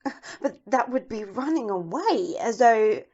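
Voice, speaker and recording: A woman acts out a wealthy young married lady in an audio drama. Her voice is high, with a proper, imperious manner and an anxious edge. The sound is slightly dull and muffled. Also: expressive, unhurried, darkish tone, breathy edge, heavily acted. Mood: indignant, flustered. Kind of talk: amateur audio play acting.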